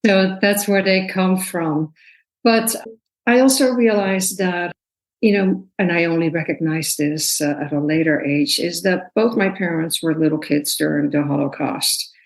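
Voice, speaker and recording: Woman in her sixties from the Netherlands, very low voice, thoughtful, measured interview guest. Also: low-quality recording. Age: 60s. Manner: thoughtful, measured, laughs easily. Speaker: guest, personal trainer. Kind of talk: casual interview talk.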